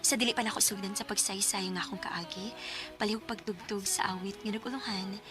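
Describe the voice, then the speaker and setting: A woman playing a gentle letter sender introducing her life story in a radio drama. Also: mid-range voice, fairly even intonation, a little fast, lightly acted. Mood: polite, gentle. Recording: music clearly behind the voice.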